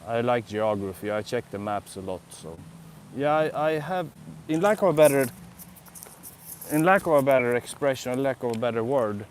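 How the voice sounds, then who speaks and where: A man's voice: medium pitch, lively intonation, normal speed, a little hiss or hum. A young Swedish man with a Swedish accent, chatting casually on a live stream in a city park, musing and answering viewers' comments. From Sweden. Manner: rambling, reflective, answers chat comments. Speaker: vlogger.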